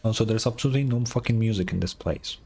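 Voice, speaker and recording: A man's voice: on the low side, fast, a little mellow, breathy edge. A man giving casual gameplay commentary as a streamer, chatting to himself between lines of dialogue. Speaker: streamer voicing game dialogue.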